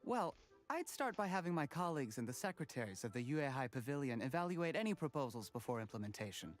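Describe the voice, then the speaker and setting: A man's voice: medium pitch, big pitch swings, fast, soft background music, natural voice. An actor playing a polite young male candidate in a game, answering questions in a composed, slightly nervous way. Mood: earnest.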